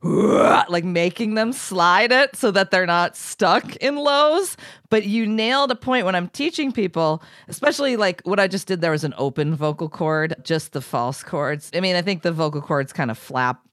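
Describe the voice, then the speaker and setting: A woman's voice: lowish voice, expressive, moderate pace, a bit bright, no echo, studio quality. Woman vocal coach hosting an interview. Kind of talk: conversational interview.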